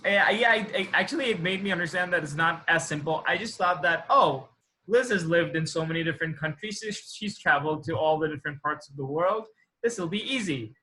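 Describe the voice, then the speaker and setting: A man in his thirties with an Indian accent and a bright, slightly high voice. He chats as a guest, sharing anecdotes in a halting way and rephrasing himself along the way. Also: a little hiss or hum, low-quality recording. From Assam, India. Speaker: interview guest. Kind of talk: casual chat, anecdote telling.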